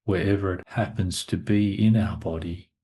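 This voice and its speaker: A man leading a guided meditation as a teacher, speaking in short, measured phrases with long pauses between them. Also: low voice, fairly even intonation, warm tone.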